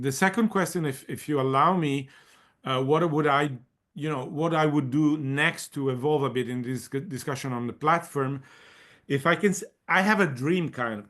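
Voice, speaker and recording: A man's voice: mid-range voice, fairly bright tone. A man answering on an online panel, thinking aloud with frequent repetitions and restarts. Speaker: panelist leading a community of practice.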